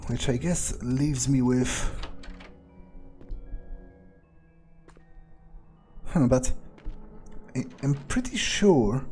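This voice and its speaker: A man playing a foul-mouthed, sardonic vampire, musing aloud with wry, hesitant pauses as he plays a video game. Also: mid-range voice, mellow. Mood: puzzled. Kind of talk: in-character playthrough narration, musing aloud.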